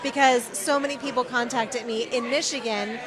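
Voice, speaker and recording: Female field correspondent, fairly high register, effusive and conversational, interviewing with a clear crowd around. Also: steady tone, normal speed, rough recording. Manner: effusive, supportive of guest. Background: crowd at an event.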